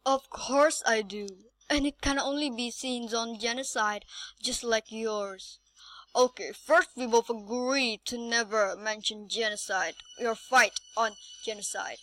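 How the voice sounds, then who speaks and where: A woman voicing a smug, laid-back skeleton explaining things deliberately in an amateur comic dub. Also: fairly high pitch, steady tone, fairly bright tone, no echo, poor audio. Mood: smug, instructive.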